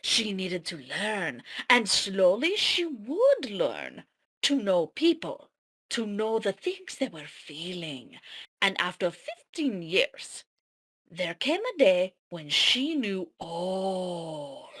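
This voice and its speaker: A cryptic fantasy warrior woman played by a woman in a table read, husky and unhurried. Her delivery is grave and dramatic, with pitch that swings widely as she shares secrets. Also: very animated, a bit bright, heavily acted. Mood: solemn.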